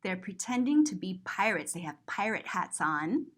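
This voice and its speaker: A woman's voice: mid-range voice, big pitch swings, moderate pace, a bit bright. A woman reading a picture book aloud to young children, describing it in simple, repeated words with lots of expression. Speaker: storyteller, teacher.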